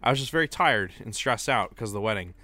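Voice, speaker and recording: A young man co-hosting a casual podcast, hoarse from a cold, recounting things step by step. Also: fairly low pitch, fast, no echo. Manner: tells events step by step, plain and steady. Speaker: podcast co-host. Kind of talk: casual chat.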